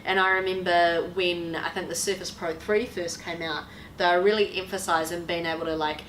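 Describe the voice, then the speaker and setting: A woman's voice: lowish voice, fairly even intonation, a bit bright. A woman hosting a podcast in bubbly, chatty conversation. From New Zealand. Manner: bubbly, chatty, quick to laugh. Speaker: podcast host. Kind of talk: casual chat. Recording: echoey room with faint noise.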